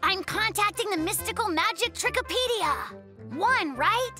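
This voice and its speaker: An eager young cartoon bear cub with a very high, swooping voice. He talks in showy stage-magician patter and sounds thoroughly sure of himself, with music playing behind him. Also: lively intonation, normal speed. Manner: showy, self-assured, theatrical patter. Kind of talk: children's cartoon voice acting.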